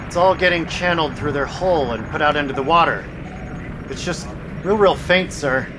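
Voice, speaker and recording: Sonar operator for radio play, male actor: lightly high pitch, respectful, folksy technical reports, noisy submarine ambience. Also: normal speed, natural voice. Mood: puzzled, focused. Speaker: actor in an audio drama. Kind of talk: audio drama acting. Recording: noisy vessel ambience behind voice.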